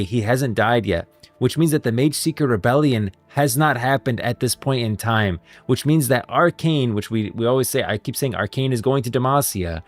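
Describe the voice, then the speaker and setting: A man's voice: medium pitch, no echo. A man streaming casual live commentary on game lore, rambling and repeating phrases as he reasons aloud.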